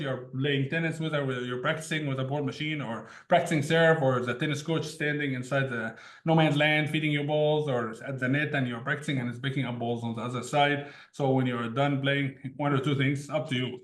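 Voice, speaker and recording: A man's voice: medium pitch, a bit bright. A man from Egypt, a tech founder telling his story as an interview guest in an easygoing way, often laughing between sentences. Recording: roomy echo.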